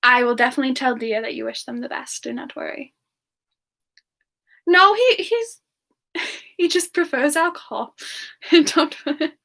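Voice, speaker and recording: A woman plays a young frost demoness with a high, soft voice. She talks slowly and hesitantly, with a sweet, affectionate temper, as if chatting gently with viewers on an in-character ASMR stream. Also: vocal fry, full character voice. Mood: gentle, chatty. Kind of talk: semi-ASMR in-character live Q&A.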